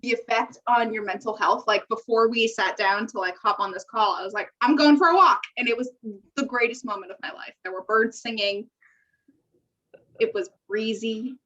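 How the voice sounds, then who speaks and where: A woman's voice: a little high, normal speed, sharp tone. A woman podcast co-host chatting casually on a remote call, in a bubbly and chatty way. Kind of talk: casual chat. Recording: dull, lo-fi call audio.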